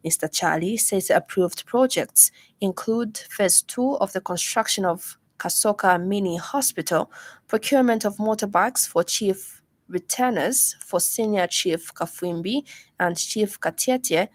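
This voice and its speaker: A woman with a Zambian accent reads a scripted radio news bulletin in a formal, measured way. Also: mid-range voice, dry recording. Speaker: news reader.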